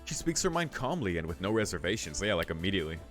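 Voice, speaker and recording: A man's voice: deep voice, very animated, fast, fairly bright tone, faint music behind. A man streaming a visual novel, reading the story text aloud and adding casual, musing commentary with some swearing.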